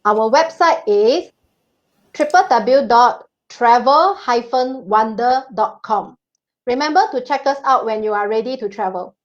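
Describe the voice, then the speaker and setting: Bubbly high-voiced woman host. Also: a little slow, lo-fi sound. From Singapore. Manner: bubbly, laughs often. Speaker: co-host of a travel livestream. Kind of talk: casual live-stream chat.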